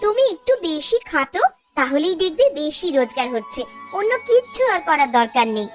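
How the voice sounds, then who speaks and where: A woman's voice: sits high, big pitch swings, brisk, faint music behind. A woman voicing a stubborn, nagging farmer's wife in a cartoon, scolding and insistent in manner. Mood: stubborn, cross. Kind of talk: cartoon voice acting.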